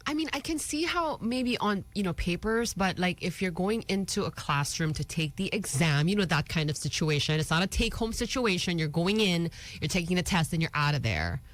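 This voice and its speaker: A woman's voice: on the low side, animated, a little fast, hardly any pauses. A woman co-hosting a casual morning radio show, conversational and agreeable, in with some Tagalog. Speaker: radio co-host.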